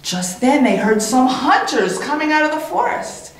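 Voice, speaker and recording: A woman reading a children's story as a teacher, in an expressive, animated way with dramatic pauses and repetition. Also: high voice. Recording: very echoey room.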